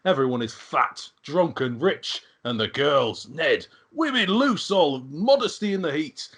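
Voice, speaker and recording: A man's voice: on the high side, animated, a little slow, fairly bright tone, slightly rough, full character voice. A man playing a boisterous, blunt, imperious fantasy king in his forties at an amateur table read. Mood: hearty, boisterous.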